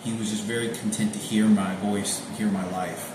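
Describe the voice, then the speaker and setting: A man rambling through personal memories in a halting, repetitive way, often searching for names. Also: lowish voice, flat delivery. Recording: very echoey room with noticeable background noise.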